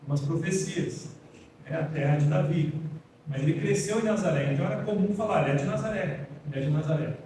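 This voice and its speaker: A man's voice: a little high, a little fast, mellow, far from the mic, slight background noise. Preaching man in an echoey hall. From Brazil. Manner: earnest, plainspoken preaching, addresses listeners as brothers. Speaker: pastor. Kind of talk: sermon. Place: church hall.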